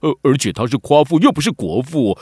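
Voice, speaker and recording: A man's voice: fairly high pitch, very animated, brisk, darkish tone, slightly rough, dry recording, clean studio sound. A man voicing a cheeky, sarcastic young boy who whines and complains in a children's radio drama. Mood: exasperated. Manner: whiny, exaggerated, complaining.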